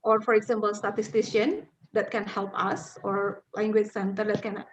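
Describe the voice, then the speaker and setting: A woman with an Indonesian accent presenting academic ideas on a video call, explaining carefully with pauses and fillers. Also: medium pitch, level tone, noisy background. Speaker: conference presenter. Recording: dull lo-fi video call audio.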